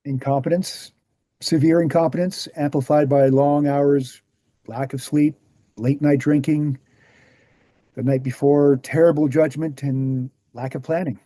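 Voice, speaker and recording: A man acts out an earnest author lecturing at a table read. His voice is very dark and relaxed, pitched a little high for a man. He explains things in a measured, emphatic way, in a dry close recording. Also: no echo, close to an everyday voice. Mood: grave.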